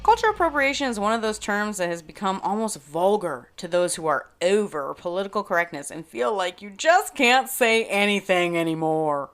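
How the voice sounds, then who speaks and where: Female podcast host, scripted opinion monologue, very animated intonation, emphatic with playful asides. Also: medium pitch.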